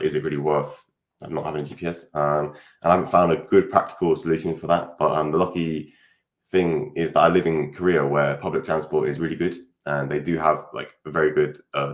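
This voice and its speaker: Very deep-voiced young man, echoey room. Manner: rambling, hesitant, lots of fillers. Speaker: guest. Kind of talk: casual interview chat.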